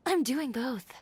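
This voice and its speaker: A woman's voice: high-pitched, noisy background, full character voice. A woman acting a confident, proud young fashion designer in a video game, speaking with cool composure and dry wit. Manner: self-assured, composed, dryly wry.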